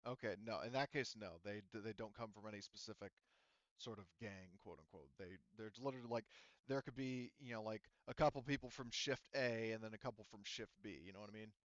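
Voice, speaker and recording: A man running a tabletop game as game master, explaining the situation off the cuff in a halting, rambling way and often qualifying himself. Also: lowish voice, no room sound, muffled recording.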